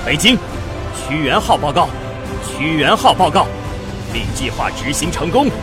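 A man's voice: fairly high pitch, big pitch swings, unhurried, over music. An actor playing a male spaceship captain making triumphant radio reports in an audio drama. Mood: triumphant, loud.

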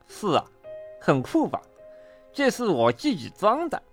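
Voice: medium pitch, huge pitch swings, measured pace, soft background music, voice pushed up. A storyteller voicing a jolly, boastful beaver dentist in a children's storybook, jaunty and self-satisfied. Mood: proud.

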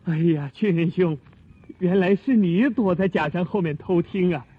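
An actor playing an earnest, modest and troubled young scholar, deferential and theatrical, in a Chinese period radio drama. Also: male voice, high voice, moderate pace, slightly rough. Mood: polite, modest. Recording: phone-like lo-fi radio recording.

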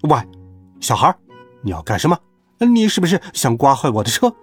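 A rude, short-tempered little man who snaps and threatens, as a man puts him on. The voice jumps high and swings sharply, with a husky edge and faint music behind. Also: wildly swooping pitch, moderate pace, full character voice. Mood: angry. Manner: snapping, threatening.

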